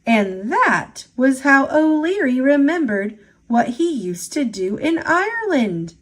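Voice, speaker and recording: A woman reads a children's storybook aloud. Her voice sits fairly high and moves through a very animated range of pitch. She takes her time, giving the story an expressive, unhurried delivery. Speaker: storybook reader.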